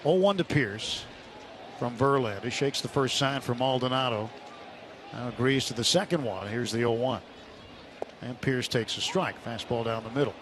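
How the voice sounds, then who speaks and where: A man's voice: mid-range voice, normal speed. A man working as a radio play-by-play announcer, giving live baseball commentary from a ballpark. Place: outdoor ballpark broadcast booth. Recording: noisy open-air stadium broadcast.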